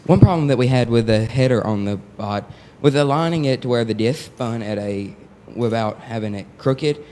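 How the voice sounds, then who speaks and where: A man's voice: mid-range voice, normal speed, mellow, slight background noise. A young man from Arkansas, searching for words as he explains how a robot was built. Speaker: student presenter. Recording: reverberant hall.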